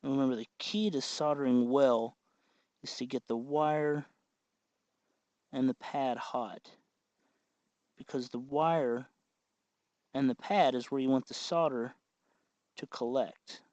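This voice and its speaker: A dry, slightly dull recording of a man with a mid-pitched voice. He hosts a hands-on tutorial in an informal style. He explains things step by step, as if to viewers at home. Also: fairly even intonation, slow pace, long pauses, darkish tone, dry recording. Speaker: tutorial host. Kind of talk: casual hands-on electronics tutorial.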